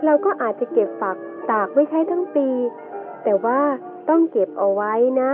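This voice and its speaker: Woman acting patient mother, fairly high voice, gentle, teaching tone, educational drama, thin phone-like audio. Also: animated, normal speed. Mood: gentle, instructive. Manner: gentle, instructive. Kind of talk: scripted educational radio drama. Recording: tinny band-limited audio with music behind.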